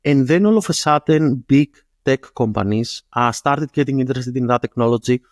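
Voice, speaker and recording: A man from Greece with a Greek accent, explaining things in an interview as a startup founder, often pausing and rephrasing himself. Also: medium pitch, no echo, clean studio sound. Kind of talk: interview answers.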